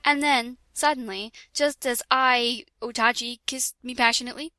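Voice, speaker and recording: A woman's voice: on the high side, fairly even intonation, normal speed, sharp tone, no room sound, studio quality. A young woman doing a comedic dramatic reading of parody fan fiction, stumbling over words and laughing as she goes.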